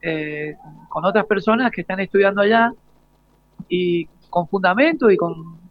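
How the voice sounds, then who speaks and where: A man with a high-pitched voice conducts a live interview, hesitating and rephrasing as he goes. The sound is a little dull and lo-fi. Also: normal speed, no room sound. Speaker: radio interviewer.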